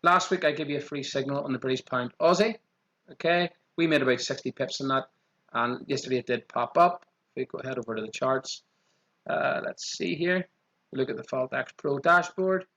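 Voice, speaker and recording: A man with a strong Irish accent walking through trading charts quickly, often saying "okay" as he goes. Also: medium pitch, a bit bright, muffled recording. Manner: quick, repeats 'okay' as a filler. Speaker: trading channel host. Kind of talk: screen-share chart walkthrough.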